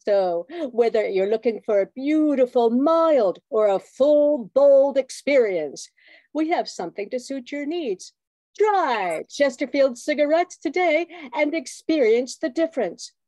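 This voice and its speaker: A woman's voice: sits high, animated, measured pace, mellow, dry recording. A woman playing a dramatic, salesy cigarette announcer with a bitter streak in an old-time radio commercial. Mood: dramatic sales pitch. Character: smooth cigarette ad announcer woman, wistfully bitter about exes. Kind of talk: 1940s radio commercial acting.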